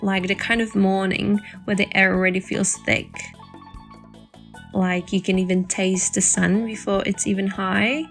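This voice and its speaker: A woman's voice: medium pitch, level tone, measured pace, a bit bright, faint music behind. A woman playing a playful, teasing young woman in a romantic summer audio drama. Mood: dreamy, playful.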